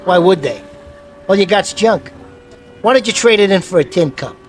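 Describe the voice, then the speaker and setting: A man's voice: high-pitched, lively intonation, moderate pace, soft background music. An actor playing a sour, scornful man in his thirties in a radio drama, brash, slangy and combative. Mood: mocking. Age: 30s.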